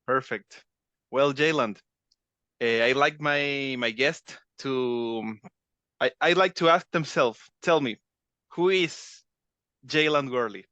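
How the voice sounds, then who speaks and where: A man hosting a podcast interview over a video call, asking questions in friendly, slightly halting phrasing. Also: mid-range voice, sharp tone, clear, resonant tone, no echo. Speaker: podcast host. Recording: dull, lo-fi audio.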